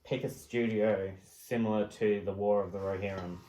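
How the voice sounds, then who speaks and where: A man with a fairly low voice gives his view in a casual group discussion. He sounds like a podcast panelist, recorded with a lot of room echo. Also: steady tone, normal speed, mellow.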